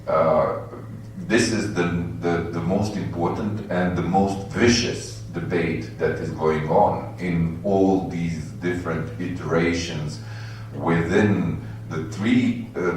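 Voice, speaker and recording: A man speaking as a panelist at a roundtable discussion, halting and earnest as he searches for words. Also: sits low. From Bosnia. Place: university auditorium. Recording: echoey hall, distant mic, faint hum.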